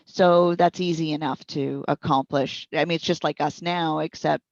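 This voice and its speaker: Low-pitched woman explaining tech, dull sound. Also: fairly even intonation, normal speed, a little mellow, no room sound. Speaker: interviewed speech software trainer and support provider. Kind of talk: informal explanatory interview answers. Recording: dull, lo-fi audio.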